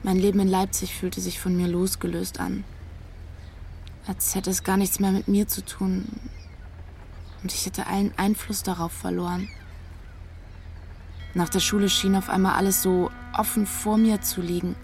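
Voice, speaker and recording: A woman's voice: medium pitch, flat delivery, normal speed, creaky, pauses often, a little mellow, faint music behind, lightly acted. An actress playing a disillusioned young woman in a radio drama, speaking in a frank, matter-of-fact, naturalistic way. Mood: disillusioned, reflective. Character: disillusioned young woman from Leipzig, direct, searching.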